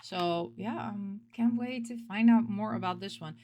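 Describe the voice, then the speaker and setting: A woman hosting a casual video about games, talking in an expressive, rambling way and repeating words for emphasis. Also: mid-range voice, a little slow. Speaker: host of a game collecting show. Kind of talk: casual chat, showing game pick-ups.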